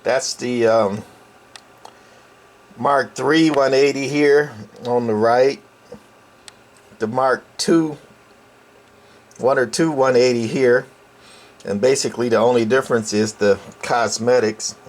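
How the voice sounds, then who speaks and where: A man presenting an informal hands-on technical demo in a halting, folksy, explanatory way, recorded indoors on a camera microphone. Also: medium pitch, steady tone. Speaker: channel host. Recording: clear background noise, room ambience.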